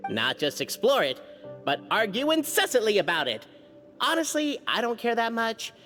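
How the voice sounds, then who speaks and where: Wildly animated, high brash game creature. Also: male voice, normal speed, long reverb tail, heavily acted. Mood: breezy, flippant. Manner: showy, exuberant, swooping. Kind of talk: video game voice acting. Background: background music.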